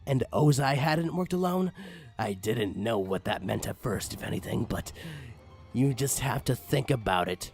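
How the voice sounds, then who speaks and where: A man narrating a comic horror story in a theatrical, mock-ominous manner with drawn-out phrases and a raspy voice. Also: medium pitch, lively intonation, darkish tone, faint music behind. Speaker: creepypasta reader.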